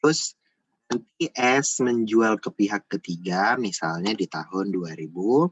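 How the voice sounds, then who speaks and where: A man's voice: on the low side, unhurried, breathy edge, no echo, muffled recording. A man giving an informal step-by-step tutorial, repeating himself and often adding small checking tags. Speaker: accounting tutor.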